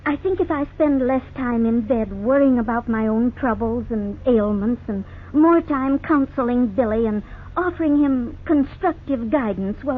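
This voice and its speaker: A woman's voice: on the high side, close to an everyday voice. An actress playing a worried, contrite middle-aged mother who speaks hesitantly, in 1950s radio drama style. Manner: hesitant, apologetic. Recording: scratchy 1950s radio broadcast, tinny.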